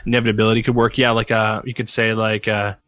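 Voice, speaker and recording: A man's voice: fairly low pitch, level tone, quick pace, fairly bright tone, dry recording, clean studio sound. A man chatting casually as a podcast guest, with a crude, joking, profane manner. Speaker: podcast guest, comedy YouTuber. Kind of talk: casual chat.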